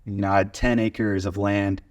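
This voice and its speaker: A young American man in his twenties speaks in a fairly low voice. He tells personal stories in a casual, conversational way, as a guest in a podcast interview. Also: flat delivery, moderate pace. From small town in Oklahoma, USA. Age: 20s. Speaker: podcast guest, video studio owner. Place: converted garage video studio.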